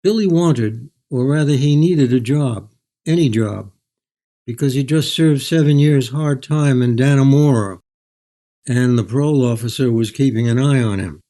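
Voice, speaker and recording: An older man telling stories as an author in an interview, in a measured, anecdotal way. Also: mid-range voice, dark tone. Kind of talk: storytelling in an interview.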